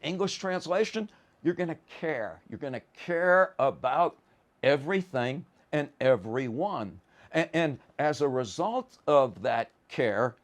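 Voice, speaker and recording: A man giving an informal philosophy lecture in a deliberate, halting manner, leaning on key words. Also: mid-range voice.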